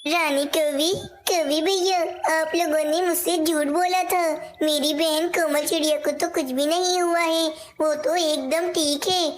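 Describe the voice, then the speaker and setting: A performer voicing a talking bird in a children's cartoon tale, speaking in simple storybook dialogue. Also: high-pitched. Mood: upset.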